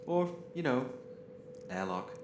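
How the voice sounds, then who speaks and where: A man's voice: fairly high pitch, very animated, slow pace, background noise. A man playing a cheeky, exasperated spaceship crewman in an audio drama, wry and emphatic. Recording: echoey room.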